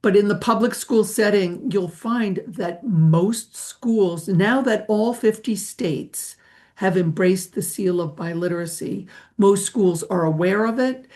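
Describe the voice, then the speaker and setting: A woman's voice: medium pitch, slow, a little mellow. A woman giving a webinar over a video call, patiently explaining testing procedures and answering questions. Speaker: language testing presenter.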